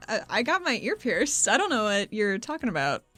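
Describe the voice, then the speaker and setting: A woman's voice: mid-range voice, sing-song, a little fast, bright, forceful tone, no room sound, soft background music. A woman playing a playful, self-deprecating young woman in a tabletop game, casual and teasing.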